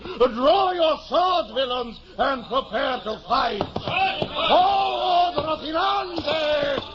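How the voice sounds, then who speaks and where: An actor playing a deluded, pompous aging gentleman who thinks he is a knight, declaiming theatrically in a 1950s radio drama. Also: very high voice, expressive, drawn-out words, full character voice. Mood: outraged, battle-crying. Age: middle-aged. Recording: muffled, noisy old radio recording.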